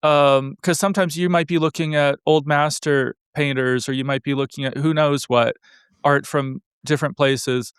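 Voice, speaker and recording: A man's voice: fairly high pitch, fairly even intonation, normal speed, clear, resonant tone, no room sound, studio quality. A man hosting an interview, asking long, meandering questions full of hedges and fillers. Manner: rambling, hedging questions full of you know and like. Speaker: interviewer, show host. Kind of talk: casual interview conversation.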